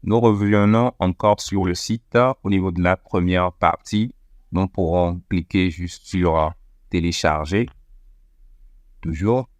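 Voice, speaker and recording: A man with a very low voice walks through a computer tutorial one click at a time. He speaks methodically, pausing on fillers as he goes. The sound is dry and close, with no room echo. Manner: step-by-step, methodical with frequent fillers. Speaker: tech tutorial presenter. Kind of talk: screen-recorded software walkthrough.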